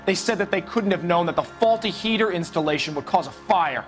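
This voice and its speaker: A man's voice: high-pitched, expressive, brisk, faint music behind. A man playing a bitter, defiant political radical being interrogated in a video game, sounding aggrieved.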